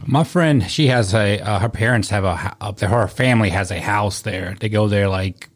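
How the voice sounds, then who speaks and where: A man with a fairly low voice chats casually, stopping and restarting his sentences. He keeps dropping "like" and "um" as he talks. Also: normal speed. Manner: hesitant, filler "like". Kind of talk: casual interview chat.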